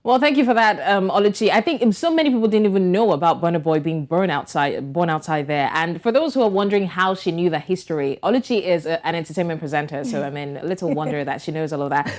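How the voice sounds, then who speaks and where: A woman hosting a talk show, moderating briskly and handing the floor to guests. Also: on the low side. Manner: brisk, moderating, introduces speakers. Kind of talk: moderating a panel discussion.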